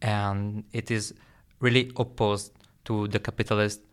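Young male podcast guest, unhurried pace, smooth lowish voice. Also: flat delivery. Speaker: art student, podcast guest. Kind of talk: interview answers.

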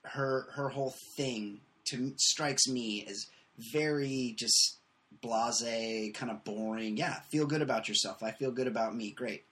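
Bright, brisk-talking podcast host man. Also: mid-range voice. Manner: opinionated, quick riffing, laughs along. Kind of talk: casual comedic podcast chat.

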